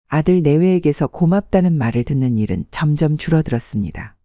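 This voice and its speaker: A man narrates a family story like an audiobook, measured and scripted. His voice sits a little high with a very mellow, dark tone, recorded dry and close. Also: no echo, lo-fi sound.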